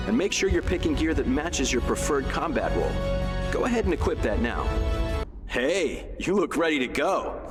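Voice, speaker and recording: Lively, friendly game quartermaster. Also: male voice, fairly high pitch. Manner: casual, instructive. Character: friendly, encouraging male agency quartermaster. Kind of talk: scripted game tutorial dialogue.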